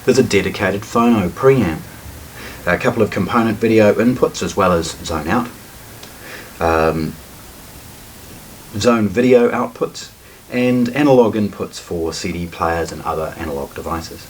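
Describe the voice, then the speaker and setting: Low-voiced man, distant and noisy recording. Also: unhurried. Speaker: product presenter. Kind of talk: unscripted product unboxing walkthrough. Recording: distant mic, noticeable background noise.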